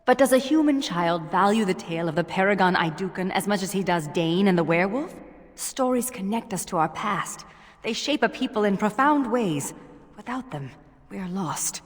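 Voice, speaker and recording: An actress playing a proud, earnest young elf woman in a video game, speaking in a measured, wistful way. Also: medium pitch, expressive, in a large hall, natural voice. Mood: wistful, indignant.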